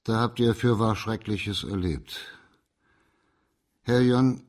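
An actor playing a stern, dignified district judge in a radio drama, speaking formally and with measured authority. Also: male voice, fairly low pitch, a little mellow.

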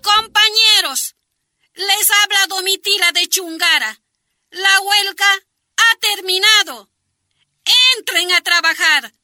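An actress playing a woman impostor making a measured public announcement in a radio drama. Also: extremely high pitch, pushed and bright, dry recording, clean studio sound, heavily acted. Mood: measured, declarative. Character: woman impostor posing as strike leader on radio.

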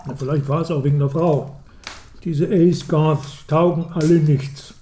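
Casting: male actor as middle-aged dismissive father in a radio play, dark mellow voice, roomy, clinking cutlery. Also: on the high side, steady tone, measured pace, lightly acted. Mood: disapproving. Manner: grumbling, dismissive, offhand. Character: disapproving middle-aged upper-class father, gossipy and dismissive. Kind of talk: German radio drama acting. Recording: roomy, noisy with clinking tableware. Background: cutlery and dishes.

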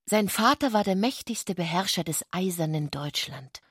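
A woman's voice: lowish voice, animated, moderate pace, a bit bright, husky, no echo, clean studio sound. A woman reading literary prose as the narrator of a radio drama, in a scripted literary style.

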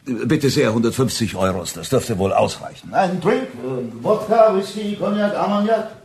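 A man's voice: mid-range voice, animated, moderate pace, darkish tone, lightly acted. Radio drama role: male actor as a cold, pompous man of means, stilted and patronising, intonation swinging widely. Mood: cool, dismissive. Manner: formal, condescending, stilted. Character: pompous, wealthy, cold stepfather and client. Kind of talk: radio play acting.

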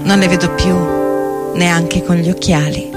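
Lady playing a tired bitter hotel porter, slow spoken word theatre reading, guitar loud behind her. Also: lowish voice, fairly even intonation, natural voice. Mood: weary. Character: weary, disillusioned hourly-hotel bar porter, moved and bitter. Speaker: stage reader. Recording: loud guitar music under voice. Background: plucked guitar music.

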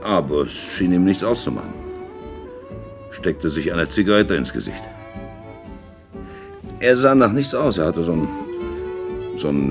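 A shady, world-weary dealer tells his story in a radio drama. His low voice swings expressively as he muses and gropes for words, with music playing clearly behind him. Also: full character voice. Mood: musing. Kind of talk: radio play narration, musing.

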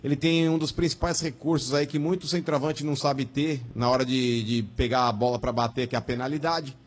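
A man's voice: mid-range voice, fairly even intonation, brisk. A man on a sports radio panel arguing emphatically and slangily, calling people "irmão" and "velho". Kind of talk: casual sports radio chat.

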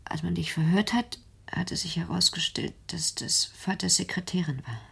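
Breathy, creaky wounded young daughter. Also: female voice, lowish voice, moderate pace, a bit bright, full character voice. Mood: accusing. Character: wounded, probing young daughter confronting her mother. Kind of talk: German radio play acting.

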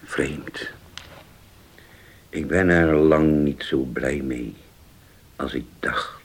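An actor playing a grave older uncle in a radio play, subdued and halting, as if brooding. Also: male voice, extremely low pitch, slightly rough, full character voice. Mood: brooding, disillusioned. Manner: slow, halting, murmuring to himself. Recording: faintly noisy old radio play recording.

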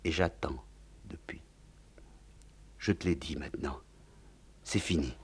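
A man's voice: rumbling low, takes it slowly, husky, voice pushed down, heavily acted. Man reading as an anguished young woman. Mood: hushed. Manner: hushed, anguished.

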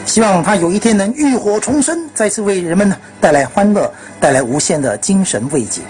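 A woman presents on radio with an expressive delivery. Her medium-pitched voice moves up and down a lot. Faint music sits behind her. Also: fairly quick. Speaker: radio host.

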